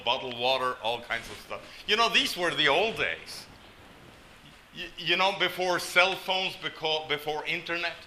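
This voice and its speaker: A man's voice: a little high, sharp tone, slight background noise, rough recording. An older man from Norway preaching a sermon, telling stories with folksy, self-deprecating humor. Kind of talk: sermon with storytelling.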